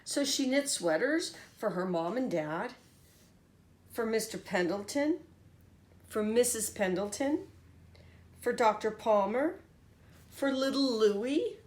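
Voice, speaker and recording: A woman's voice: fairly high pitch, animated, slow pace, long pauses, darkish tone. A woman reading a picture book aloud to children as a teacher, expressively and with little comments to her listeners. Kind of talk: animated picture-book storytelling.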